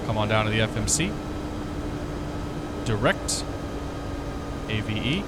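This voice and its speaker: A man casually chatting with viewers while flying a flight sim, switching into crisp pilot radio calls. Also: on the low side, animated, unhurried, very noisy. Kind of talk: casual livestream commentary.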